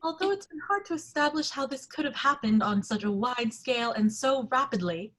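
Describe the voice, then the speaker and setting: Woman as formal, higher-pitched newsreader. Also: animated, a little fast, fairly bright tone. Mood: grave, official. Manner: formal, official. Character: formal, measured television newsreader. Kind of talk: amateur screenplay table read.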